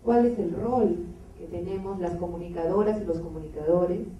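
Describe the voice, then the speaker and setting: A woman moderating a public debate, speaking off the cuff and deliberately, with pauses between phrases. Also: on the low side, dark tone. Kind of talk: spontaneous introduction opening a public debate. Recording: echoey, distant, dull, slightly noisy room recording.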